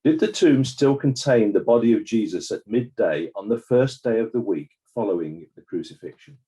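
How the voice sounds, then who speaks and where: Man acting as probing inquest examiner, formal, deliberate, husky, varied intonation, scripted courtroom drama. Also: on the low side, animated, mellow, poor audio. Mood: probing, neutral.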